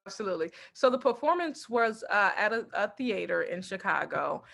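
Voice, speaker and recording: A woman stand-up comedian from Texas, chatting in an interview and telling animated stories about her family while acting out quotes and laughing often. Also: medium pitch, a little fast, creaky. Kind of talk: casual interview chat.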